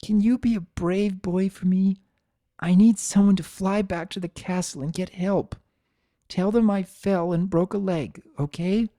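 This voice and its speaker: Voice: mid-range voice, measured pace, mellow, no echo, pitched above the performer's own voice, lightly acted. A narrator voicing a kindly, practical mother pegasus with a motherly, coaxing manner in a cartoon pony story.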